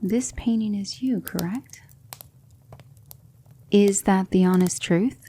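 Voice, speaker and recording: A woman playing a gentle, patient demon mentor in an ASMR roleplay, coaxing her student with soft, deliberate instruction that often drops toward a whisper. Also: mid-range voice, breathy edge. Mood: gentle, reassuring. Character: gentle female demon mentor teaching magic, patient, reassuring.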